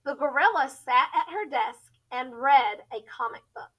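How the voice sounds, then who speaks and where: A woman teacher reading a children's story aloud in an expressive, deliberate storytelling style. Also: sits high, slightly rough, highs cut off.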